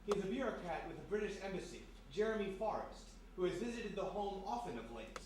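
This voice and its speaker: A man's voice: sits high, lively intonation, quick pace, a little mellow. A man narrates a scripted radio play. He is recorded at a distance in a live space with very heavy echo and noticeable noise. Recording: very echoey live room, distant mic, noisy.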